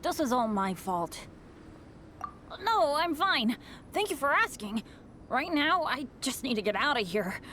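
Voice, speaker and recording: A woman voicing an unlucky teenage boy adventurer in an animated video game, earnest, eager and apologetic. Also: high-pitched, a little slow, long pauses, slight background noise, full character voice.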